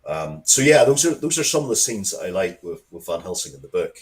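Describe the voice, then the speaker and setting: A man's voice: deep voice, big pitch swings, fairly quick. A man speaking as an interviewed writer, rambling conversationally and bluntly through stories about his own work.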